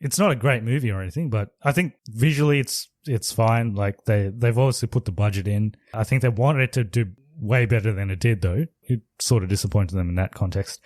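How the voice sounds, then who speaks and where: Male podcast co-host, slightly low voice, loose rambling delivery, dry close mic. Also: brisk, few pauses, darkish tone, no room sound. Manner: rambling, laid-back, lots of "like". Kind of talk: casual movie review chat.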